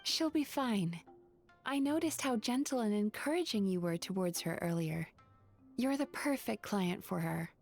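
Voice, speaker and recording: A woman's voice: medium pitch, animated, normal speed, vocal fry, darkish tone. A woman playing a polite, composed young spirit hostess and therapist in an anime game, reassuring and courteous. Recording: music playing behind the voice.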